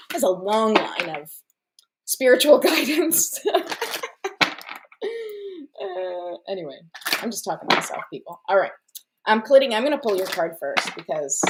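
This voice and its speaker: A woman's voice: fairly low pitch, heavy background noise. A woman whose first language was Portuguese, hosting a live stream and reading oracle cards in an effusive, chatty way with many fillers and small laughs. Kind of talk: casual live-stream chat.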